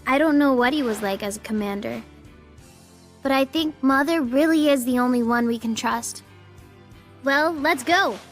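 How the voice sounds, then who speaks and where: An actress playing a caring, reassuring young female cadet in a video game, gentle and conversational. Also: high-pitched, normal speed, long pauses, soft background music, natural voice. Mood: concerned, reassuring.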